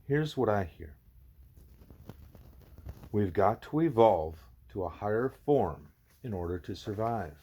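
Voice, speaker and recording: Man reading as an earnest, inquisitive young girl, husky. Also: fairly low pitch, animated, takes it slowly, long pauses, mellow, full character voice. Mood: troubled, earnest. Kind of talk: audiobook character dialogue.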